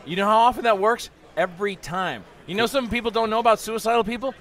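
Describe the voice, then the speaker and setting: A man hosting a casual sports interview, his voice high and bright. He fires off questions and jokes at a fast clip, keeping the banter light. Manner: joking, quick-witted interjections. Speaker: show host.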